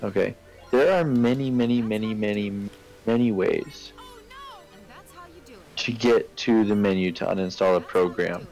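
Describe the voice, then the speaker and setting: A man in a casual voice chat, explaining things in a rambling, halting way. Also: lowish voice, steady tone, a little mellow, low-quality recording. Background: faint music.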